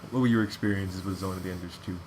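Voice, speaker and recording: A man's voice: sits low, steady tone, rapid-fire. A man giving casual game commentary, chatty and rambling as he explains things. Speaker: let's play co-commentator. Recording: noticeable background noise.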